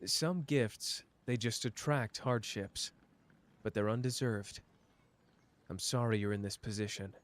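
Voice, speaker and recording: A man's voice: lowish voice, close to an everyday voice. A young man voicing a clever, protective young man in an ASMR roleplay, talking in a dry, teasing way close to the listener. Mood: curious. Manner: dry, teasing, quick-witted, close and intimate.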